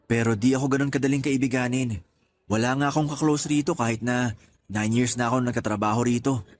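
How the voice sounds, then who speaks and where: A man acting as a reserved office worker in a radio drama. He is serious and matter-of-fact, with a mid-pitched voice over faint ambient noise. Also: steady tone, fairly quick, close to an everyday voice. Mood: hesitant.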